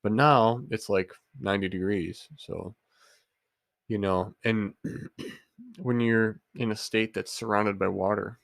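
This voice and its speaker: A man's voice: lowish voice, steady tone, slow, vocal fry, fairly bright tone, no echo, studio quality. A young man with a stuffy head cold and a nasal sound, chatting casually on a headset as a podcast co-host. He jokes and teases and rambles with lots of fillers. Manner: joking, teasing, rambling with many fillers. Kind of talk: casual chat.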